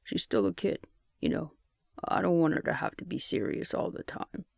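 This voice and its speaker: Audiobook role: awkward, protective survivor in their forties, read by a man, gravelly, lively pitch, gentle teasing delivery. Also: moderate pace, no room sound, studio quality, full character voice. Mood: friendly. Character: good-humored, protective, awkward forty-three-year-old survivor.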